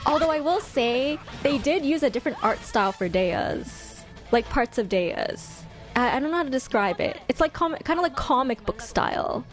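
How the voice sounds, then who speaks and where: A woman streamer chatting casually with her viewers, commenting in an expressive, gushing way and thinking her choices through out loud. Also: sits high, moderate pace, faint music behind. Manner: expressive, gushing, deliberating aloud. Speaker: game streamer and host.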